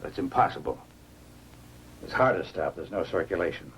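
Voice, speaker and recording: A man's voice: low voice, animated, normal speed, slight background noise, poor audio. A man acting a grave, authoritative doctor with a clinical, emphatic manner in a 1950s science fiction film. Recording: old film soundtrack with faint music.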